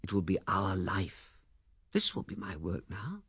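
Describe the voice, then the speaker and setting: A man voicing an awkward young man who talks in halting, stammering bursts. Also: deep voice, very animated, slightly rough, dry recording, clean studio sound, heavily acted. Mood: earnest. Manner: halting, stammering, loud and blunt.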